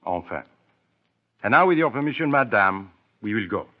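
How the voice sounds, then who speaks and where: A man's voice: lowish voice, animated, normal speed, lightly acted. A male actor plays a vain foreign detective in a vintage radio drama. His French accent carries ornate, broken English that rises and dips theatrically. The recording is dull and narrow. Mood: courteous, probing. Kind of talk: old radio drama acting. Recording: dull, band-limited old radio recording.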